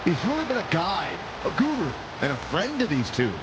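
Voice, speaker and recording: A man's voice: high voice, huge pitch swings, brisk. A man playing an enthusiastic, admiring wrestling commentator in a video game. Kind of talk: sports commentary. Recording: dull sound with loud arena noise.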